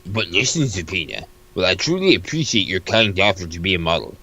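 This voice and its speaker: A man with a fairly low voice and a bright, forceful edge reads comedic dialogue. He sounds mock-formal and bantering, as if improvising around a script. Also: animated, brisk, breathy edge, poor audio. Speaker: co-reader of a comic. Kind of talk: comedic character reading, improvised.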